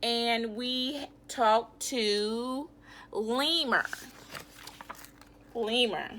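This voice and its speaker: Bright, unhurried woman teacher reading aloud. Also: mid-range voice, slight background noise. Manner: expressive, instructive, asks questions of pupils. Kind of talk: children's read-aloud lesson.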